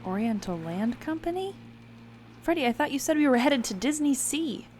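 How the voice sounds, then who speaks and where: A woman acting a skeptical but game adventuring companion in a scripted audio play, expressive and questioning. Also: high voice, moderate pace, a little hiss or hum. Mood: surprised.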